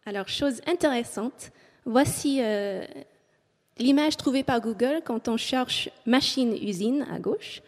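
A woman's voice: a little high, takes it slowly, darkish tone. A woman from English-speaking Canada speaking French with an English Canadian accent, giving a public talk and hesitating often with filler sounds. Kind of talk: public talk reading from notes. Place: auditorium before an audience. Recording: long hall reverb.

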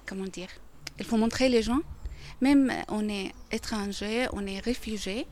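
A middle-aged woman from Syria, a native Arabic speaker with a Syrian accent, giving a personal account in an interview and haltingly searching for words. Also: medium pitch, darkish tone, slight background noise. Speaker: interviewee, refugee who cooks.

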